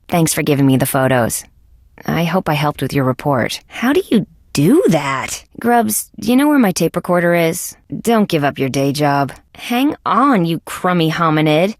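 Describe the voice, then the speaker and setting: Casting: sardonic female bug cop for an adventure game; lowish voice, creaky, dry wisecracks. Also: expressive, moderate pace, no room sound. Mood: businesslike, then sarcastic, annoyed. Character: wry, sardonic female insect police detective. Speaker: video game character. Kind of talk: scripted adventure game voice acting.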